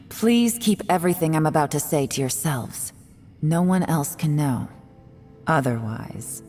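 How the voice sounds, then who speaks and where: A woman playing a guarded, serious young investigator in a video game, speaking in a grave, measured way. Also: low voice, expressive, darkish tone, soft background music. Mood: grave, confiding. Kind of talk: dramatic video game dialogue.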